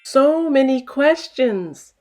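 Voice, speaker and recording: A woman voicing a wise, gentle village elder and warrior father in a storybook, measured and patient. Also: high voice, lively intonation, voice pushed up. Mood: patient, fond.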